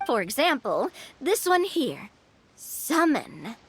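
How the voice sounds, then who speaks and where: A woman's voice: sits high, big pitch swings, takes it slowly, noisy background, full character voice. A woman playing a confident, playful young lady with a breezy, persuasive manner in an anime game. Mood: mischievous.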